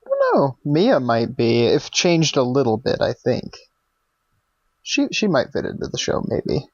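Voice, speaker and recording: A man co-hosting a casual podcast, relaxed and chatty, laughing often and trailing off mid-sentence. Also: mid-range voice, unhurried, no echo, muffled recording. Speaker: podcast co-host. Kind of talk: casual podcast chat critiquing fan characters.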